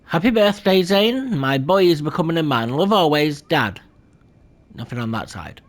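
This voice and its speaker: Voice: mid-range voice, lively intonation, normal speed. A gameplay commentator who reads in-game letters aloud in a plain voice, stumbling and correcting now and then, with chatty asides to the viewer.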